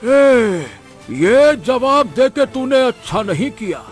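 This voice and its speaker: A man's voice: very high voice, big pitch swings, faint music behind, exaggerated voice. A man voicing a proud, easily offended old village customer in a Hindi cartoon, talking like a scolding elder. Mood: angry. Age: older. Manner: slow, indignant, scolding elder. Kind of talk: Hindi cartoon story dialogue.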